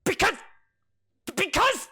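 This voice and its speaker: A man's voice: high-pitched, very animated, normal speed, pitched above the performer's own voice. A storyteller voicing a haughty, spoiled young male prince who huffs and sneers. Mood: defensive. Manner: huffy, sneering and indignant.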